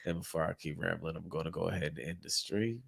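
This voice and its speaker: A man with a very low, gravelly voice co-hosting a podcast, sounding congested. He talks in a laid-back, candid way, swearing freely as he chats. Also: quick pace, fairly bright tone. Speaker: podcast co-host. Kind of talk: casual podcast chat.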